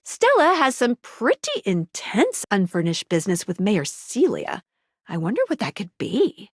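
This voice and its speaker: High-pitched, perky game guide girl. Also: no echo, clean studio sound. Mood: helpful, apologetic. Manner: chirpy, teasing, expressive. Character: perky, cheeky female dating-game guide, helpful and playful. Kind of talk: video game voice lines.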